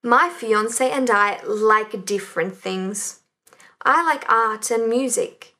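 A woman reading the part of a young Englishwoman in a language course, slow and deliberate. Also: on the high side, pushed and bright, full character voice. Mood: plain. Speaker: course audio reader.

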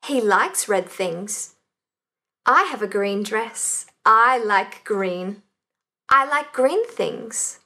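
Brief: female reader as a young English woman, bright voice, very slow pace. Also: medium pitch, animated, exaggerated voice. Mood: plain. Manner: slow and deliberate. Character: young Englishwoman reading a self-introduction. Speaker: course audio reader. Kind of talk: slow scripted language-course reading.

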